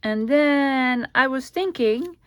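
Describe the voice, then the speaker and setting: A woman's voice: sits high, animated, slow pace, no room sound. A woman from the Netherlands with a Dutch accent, chatting casually at her craft desk as host of her channel, rambling with many ums and asides. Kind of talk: casual craft tutorial chat.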